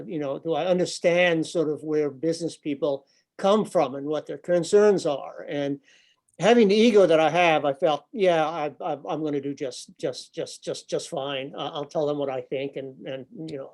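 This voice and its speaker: A man's voice: fairly high pitch, a little mellow. An older man speaking to a business group over a video call, full of fillers and repeated words. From grew up overseas. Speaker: environmental nonprofit director and speaker. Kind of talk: informal talk to a business audience. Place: office room with a window. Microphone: laptop webcam, video call.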